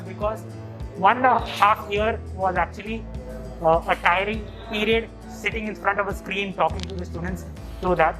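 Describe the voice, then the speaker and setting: A woman's voice: mid-range voice, rough recording. A woman baking teacher explaining a bread demo loosely, with fillers. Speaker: baking instructor. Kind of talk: casual explanation to camera. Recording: music underneath.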